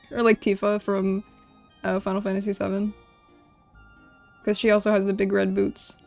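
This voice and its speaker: A woman with a noticeably creaky, fry-laden voice chats casually on a livestream. She rambles informally as she works, repeating little phrases while she talks to her chat. Light music plays quietly behind her. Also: mid-range voice, completely flat delivery, unhurried. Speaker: livestreamer drawing and designing game characters.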